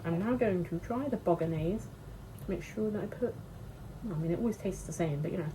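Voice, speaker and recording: A woman's voice: fairly low pitch, brisk, background noise. A British woman vlogging casually while she cooks, chatty and sweary, laughing often, with a congested, sniffly voice. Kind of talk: casual chat while cooking. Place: home kitchen.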